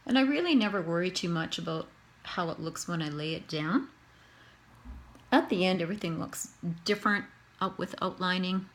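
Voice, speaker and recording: A woman's voice: lowish voice, normal speed. A Canadian woman with a Canadian accent, chatting casually and thinking aloud as she demonstrates face painting on herself. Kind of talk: casual tutorial narration while painting herself.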